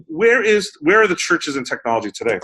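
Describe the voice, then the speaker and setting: Chatty male podcast host, slightly high. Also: sing-song, a little fast, sharp tone, slight background noise. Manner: chatty, many ums and uhs. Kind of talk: casual interview.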